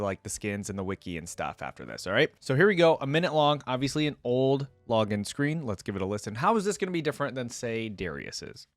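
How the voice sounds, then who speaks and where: A man giving casual reaction commentary as a video host, with an animated, informal and exclamatory way of talking. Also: medium pitch, dry recording.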